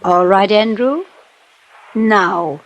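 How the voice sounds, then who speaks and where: A woman's voice: medium pitch, drawn-out words, heavy background noise. An actress in an old radio drama playing a wealthy, refined, scheming and imperious society woman. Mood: coolly commanding. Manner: refined, imperious, turning flustered when cornered. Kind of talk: 1950s radio drama acting.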